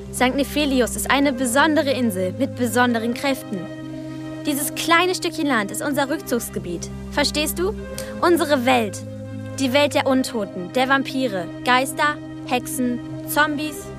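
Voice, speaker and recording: A woman's voice: high voice, lively intonation, measured pace, music in the background. An actress playing a timid but knowing twelve-year-old island girl in a radio play, earnest and confiding. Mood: earnest, secretive.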